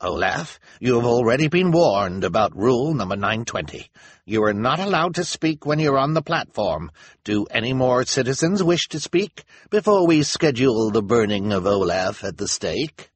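A man's voice: medium pitch, animated, moderate pace, breathy edge, no echo, pitched above the performer's own voice. A man voicing a young, impatient and officious town elder.

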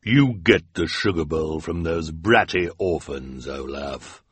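A man's voice: rumbling low, slow, slightly rough, no echo, exaggerated voice. A man putting on a bossy villainess. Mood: commanding. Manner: commanding. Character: bossy villainous woman, triumphant.

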